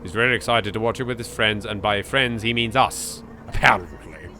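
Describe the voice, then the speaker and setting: A man's voice: on the low side, normal speed, sharp tone, a little hiss or hum. A man voicing an easygoing young male friend making a casual, teasing phone call in a visual novel. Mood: easygoing, curious.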